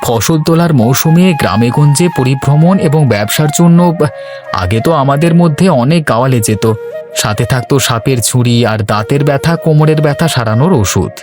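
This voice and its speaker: Cartoon role: male actor as contented boatman of sixty, mid-pitched, measured storyteller, music underneath. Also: close to an everyday voice. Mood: reflective. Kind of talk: cartoon dialogue acting.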